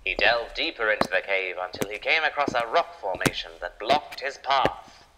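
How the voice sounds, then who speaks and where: A man's voice: on the low side, lively intonation, normal speed, in a large hall, very noisy. A man narrating an adventure serial in a dramatic, scripted storytelling style. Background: music.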